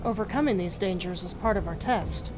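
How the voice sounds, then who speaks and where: A woman's voice: medium pitch, brisk, over music, natural voice. A woman voicing a composed, firm female warrior recruit as an amateur fantasy game voice-over. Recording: noisy amateur microphone.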